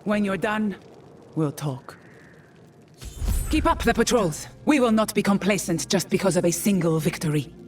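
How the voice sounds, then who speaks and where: A woman's voice: medium pitch, expressive, normal speed, pauses often, close to an everyday voice. An actress playing a cold, commanding female leader of a desert warband in a video game, curt and guarded. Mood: stern, commanding. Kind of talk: video game character acting.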